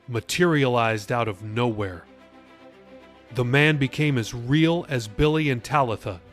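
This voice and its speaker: A man reading his own novel aloud as an audiobook, with dramatic shifts in pace. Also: medium pitch. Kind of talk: audiobook narration.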